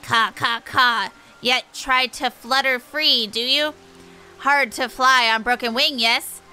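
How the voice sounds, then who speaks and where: Woman as a sneering, bright-voiced bird-man chieftain. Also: on the high side, fairly even intonation, takes it slowly, clean tone, soft background music, heavily acted. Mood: gloating, menacing. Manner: taunting, inverted word order, squawking.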